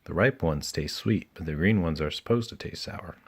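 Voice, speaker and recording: A man's voice: low voice, moderate pace, husky. A man voicing a friendly young male raccoon in a children's storybook, cheerfully explaining things as a guide. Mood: reassuring.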